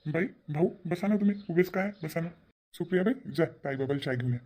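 A man's voice: fairly high pitch, lively intonation, fairly quick, warm tone. A man voicing a sensible, hospitable cartoon grandfather with a chiding, reasoning way of talking and a Vidarbha Marathi accent. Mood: welcoming. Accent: Vidarbha Marathi (Varhadi). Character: sensible, hospitable grandfather scolding his tactless wife. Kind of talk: cartoon family drama dialogue.

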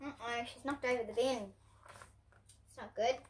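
A girl of about ten to twelve reading a picture book aloud to young children in an expressive, presentational way. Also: fairly high pitch, moderate pace, slight background noise. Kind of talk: storybook read-aloud for children. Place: indoors at home, in front of bookshelves.

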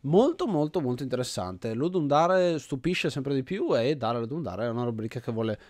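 An Italian man gives casual commentary as he plays. His voice sits at an average male pitch and is recorded dry, with little room sound. He reasons out loud as he goes, often doubling back on his own words. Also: animated, moderate pace, dry recording. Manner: thinks aloud, often repeating himself. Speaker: host of an indie game video series.

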